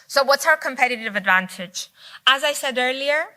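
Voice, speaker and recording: A woman's voice: sits high, expressive, normal speed, pushed and bright. A woman whose first language is Greek, giving an unscripted conference keynote with frequent fillers and restarts. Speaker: keynote speaker. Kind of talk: conference presentation. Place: conference hall.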